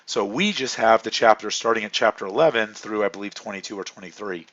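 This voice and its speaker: A man with a hoarse voice from a cold, a chemistry instructor giving a relaxed, rambling course introduction full of fillers. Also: fairly low pitch, fast talker, highs cut off.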